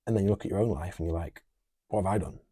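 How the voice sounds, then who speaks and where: Man in his 20s; very deep, mellow, husky voice, earnest and reflective. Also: rapid-fire, no room sound. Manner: earnest, musing, talks through lists. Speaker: podcast co-host. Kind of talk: casual heartfelt conversation.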